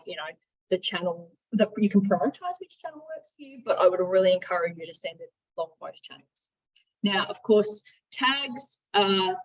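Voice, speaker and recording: Woman, software trainer, conversational, instructive, mid-pitched voice, slightly muffled lo-fi recording. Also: slightly rough. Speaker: software trainer, marketing and sales director. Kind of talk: screen-share software tutorial.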